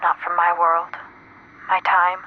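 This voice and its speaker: An actress playing a frightened, devoted woman in a retro radio drama, speaking haltingly through an old telephone filter. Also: lowish voice, completely flat delivery. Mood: distressed. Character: frightened, devoted woman, a sweetheart trapped under alien occupation. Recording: compressed narrow-band line with static noise.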